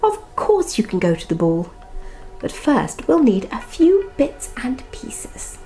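A woman voicing a kindly, commanding older fairy godmother from a storybook, slow, deliberate and reassuring. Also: high-pitched, wildly swooping pitch, mellow, full character voice. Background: music.

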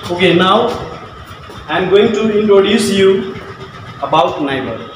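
A man in his thirties with a Nepali accent, a Maithili speaker, teaching a school lesson to camera in short, halting phrases. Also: high-pitched, expressive, background noise. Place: classroom with whiteboard, indoors. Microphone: far camera. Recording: echoey room from far off.

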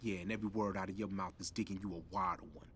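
Lead: young street hustler, cocky slang talk. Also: male voice, low-pitched, steady tone, racing, fairly bright tone, slight background noise. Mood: defiant. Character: streetwise young hip-hop hustler on the run.

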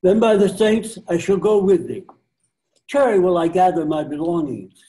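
A man's voice: high-pitched, moderate pace, mellow, lightly acted, poor audio. An older man playing a crafty, covetous old friar in amateur readers theater, with declamatory archaic speech. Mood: resolute. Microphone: computer mic on a video call.

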